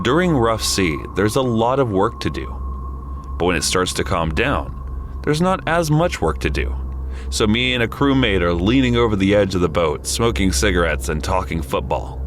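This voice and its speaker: A man's voice: fairly low pitch, noisy background. A man narrating a scripted first-person story as a storyteller, addressing the listener.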